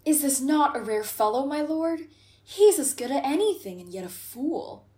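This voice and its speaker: Female actor as wry contemplative courtier, high swooping voice, declamatory, measured, Shakespearean radio drama. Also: lively intonation, warm tone. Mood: amused, curious. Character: melancholy, contemplative, sardonic courtier in Shakespearean comedy. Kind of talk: Shakespearean radio play acting.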